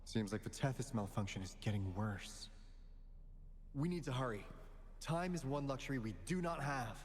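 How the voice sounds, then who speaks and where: Game role: flamboyant, knowing male guardian played by a man, very animated line readings, long reverb. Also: medium pitch, slight background noise, lightly acted. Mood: grave, urgent. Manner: theatrical, dramatic pauses and flourishes. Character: theatrical, playful male guardian of a secret island order, knowing and flamboyant. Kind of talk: video game voice acting.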